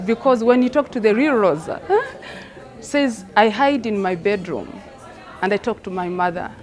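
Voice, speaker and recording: A woman from Rwanda, a theatre director explaining her work reflectively, repeating phrases for emphasis. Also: medium pitch.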